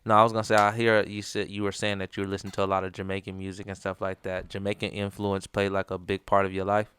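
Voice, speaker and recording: Male podcast host, low voice, very smooth, curious and joking, dry studio sound. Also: completely flat delivery, quick pace, a bit bright, dry recording. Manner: curious and joking, asks loose slangy questions. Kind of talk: casual interview chat.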